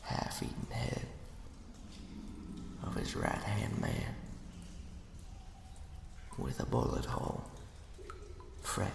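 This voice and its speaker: A man voicing the sinister ghost of a murdered henchman, telling a spooky tale in a hushed, folksy, menacing way for an ASMR roleplay. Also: on the low side, huge pitch swings, slow, pauses often, background noise, full character voice. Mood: ominous storytelling. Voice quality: breathy, gravelly whisper. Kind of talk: ASMR roleplay, scary storytelling. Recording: reverberant, cavernous echo.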